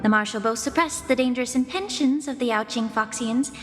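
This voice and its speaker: An actress playing a composed, guarded young healer official in a video game, speaking formally and politely with restraint. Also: female voice, a little high, a little fast, big-room sound, natural voice. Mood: grave. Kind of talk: scripted game dialogue.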